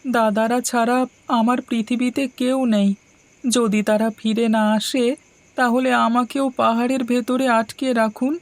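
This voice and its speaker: A woman's voice: fairly high pitch, level tone, a little slow, dry recording, natural voice. A woman voicing a kind, devoted youngest brother in a fairy tale cartoon, speaking in an earnest, pleading way. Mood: worried, pleading.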